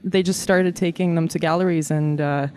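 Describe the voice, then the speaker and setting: A woman in her thirties from Kazakhstan, telling animated stories about her childhood as a guest in an interview. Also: fairly low pitch, moderate pace. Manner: warm, animated storyteller with frequent laughs and fillers. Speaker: guest artist. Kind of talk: casual interview storytelling.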